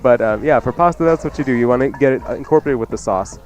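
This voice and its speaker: A man's voice: medium pitch, racing, darkish tone. A man who is a chef and radio co-host, giving casual cooking advice.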